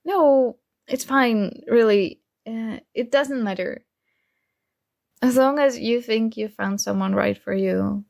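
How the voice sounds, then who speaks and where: A woman playing a secretly jealous, teasing roommate in an intimate one-sided ASMR roleplay, with hesitant pauses. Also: on the high side, vocal fry, a little mellow. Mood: resigned, hiding hurt. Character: secretly jealous female roommate, teasing, hurt but feigning indifference.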